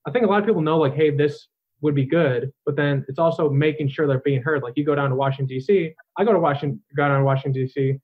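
A man's voice: a little high, fast talker, husky. A young man hosting an interview, asking long, rambling questions full of filler words. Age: young adult. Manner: rambling, talkative, heavy use of filler words. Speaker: podcast host. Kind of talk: casual interview. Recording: tinny phone-line sound.

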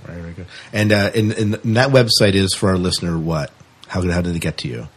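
Male podcast host, low-pitched and rough-edged, informal, loose conversational delivery with restarts. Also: fast. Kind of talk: casual interview chat.

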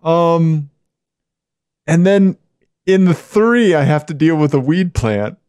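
A man co-hosting a casual podcast, giggly and animated, with a naturally high-pitched voice. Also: takes it slowly, clean tone, no room sound, clean studio sound. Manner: giggly, animated, voice jumps high when excited. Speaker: podcast co-host.

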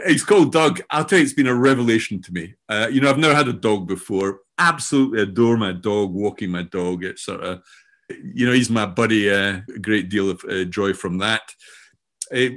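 A man's voice: lowish voice, fairly bright tone. Older Scottish man, rambling and chuckling. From Scotland. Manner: rambling, halting, laughs often. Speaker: guest. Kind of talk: casual self-introduction.

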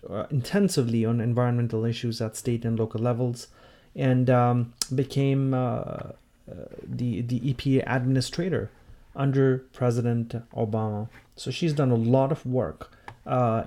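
A male podcast host with a mid-pitched voice talks in a conversational way. He pauses often and feels his way through his phrases as he presents his show. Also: mellow. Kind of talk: conversational podcast hosting, introducing guests.

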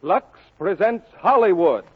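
A man's voice: sits high, animated, measured pace, narrow, tinny audio. A man making grand, projected announcements in 1940s radio style. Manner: declamatory, projected. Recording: thin old radio broadcast over music.

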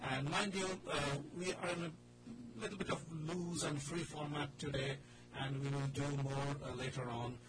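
Indian-accented man hosting over clear background noise. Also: a little high, steady tone, normal speed. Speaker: radio drama club host. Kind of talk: loose, informal radio talk show. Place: radio studio with a group in the room. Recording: noisy radio recording with hum.

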